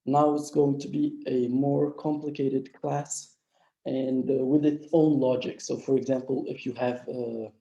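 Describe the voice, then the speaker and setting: A man with a mild flu lectures on code over a dull, lo-fi line in an echoey room. His voice is gravelly and dark, pitched a little high, and he talks through each step with plenty of "uh"s. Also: little pitch movement, unhurried. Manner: explanatory, frequent "uh" fillers. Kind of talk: live online coding lecture.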